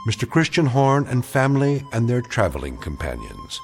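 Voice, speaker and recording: A man narrating an eerie radio drama in a measured, solemn, ominous way. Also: mid-range voice, huge pitch swings, soft background music.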